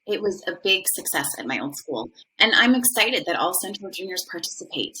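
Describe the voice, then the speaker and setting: A woman's voice: fairly low pitch, big pitch swings, a little fast, sharp tone, rough recording. A woman playing an earnest, proud middle-aged social studies department chair explaining and defending her program in a dramatized monologue.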